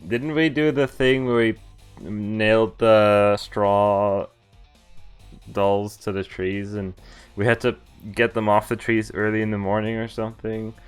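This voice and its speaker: A man's voice: on the low side, flat delivery, a little slow, clear, resonant tone. A man streaming a game, reading a visual novel aloud and adding playful, chatty commentary that wanders into asides. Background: game music.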